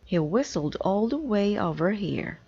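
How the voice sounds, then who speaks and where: A woman's voice: mid-range voice, sing-song, a little slow, gravelly, mellow, slightly rough, lo-fi sound, voice pushed up, exaggerated voice. A woman reading a children's storybook, voicing a cheerful, literal-minded, scatterbrained housekeeper in an earnest, exclaiming way. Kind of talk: children's storybook read-aloud.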